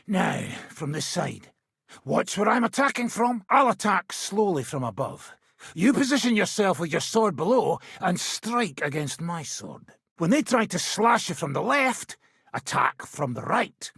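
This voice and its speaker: An actor playing a brash, hard-drinking medieval fighter and combat trainer in a video game, gruff and taunting, with a raspy, gravelly voice. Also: male voice, high-pitched, fairly bright tone, no room sound, clean studio sound, full character voice. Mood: instructive.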